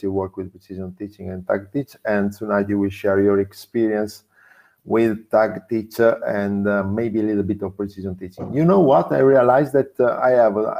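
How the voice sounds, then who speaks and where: Hesitant low-voiced man hosting in English. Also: vocal fry, warm tone. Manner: hesitant, many fillers and restarts, non-native phrasing. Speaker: interviewer, host. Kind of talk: interview.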